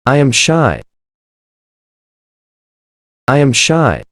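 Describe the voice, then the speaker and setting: Pronunciation model, very slow delivery, lively intonation, each phrase said twice. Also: medium pitch, dry recording, clean studio sound. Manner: says each short phrase twice, carefully.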